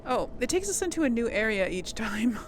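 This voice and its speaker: A woman's voice: on the high side, very animated, a little fast, slight background noise. A woman giving casual gameplay commentary as a let's play host, musing aloud to herself. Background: video game music.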